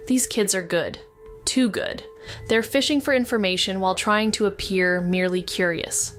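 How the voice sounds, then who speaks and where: A woman's voice: medium pitch, moderate pace, soft background music, natural voice. A woman playing a wry, anxious, sweary amateur sleuth, narrating a noir audio drama in the first person. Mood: wry, tense.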